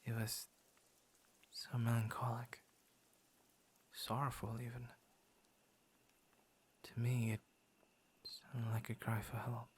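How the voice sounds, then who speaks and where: A man playing a gentle, shy young werewolf in an intimate ASMR roleplay, speaking softly and breathily, often near a whisper, with hesitant stammers. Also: medium pitch, completely flat delivery, slow pace, long pauses, husky. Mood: gentle, concerned. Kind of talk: ASMR roleplay, intimate soft-spoken acting.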